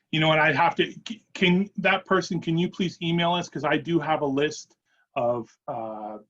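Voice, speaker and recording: A man giving an informal, somewhat halting product presentation and answering questions as a company presenter in a webinar. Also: medium pitch, fairly bright tone. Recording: dull, lo-fi webinar audio.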